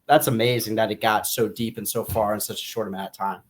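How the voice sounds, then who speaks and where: A man speaks as a podcast co-host in a casual book discussion. His delivery is informal and a little halting, and he often circles back to rephrase what he just said. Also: fairly low pitch, fairly even intonation.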